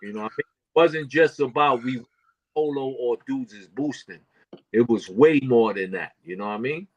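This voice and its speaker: A man in his fifties from Brooklyn telling stories as a podcast guest, animated and full of street slang. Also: mid-range voice, moderate pace, slightly rough, dry recording, dull audio. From Brooklyn, New York. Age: 50s. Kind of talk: casual storytelling.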